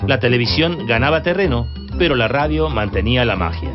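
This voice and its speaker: Argentine guy narrating a documentary, very animated pitch, loud music under him. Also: fairly quick. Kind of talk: scripted narration. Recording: dull band-limited sound under loud music. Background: loud instrumental music.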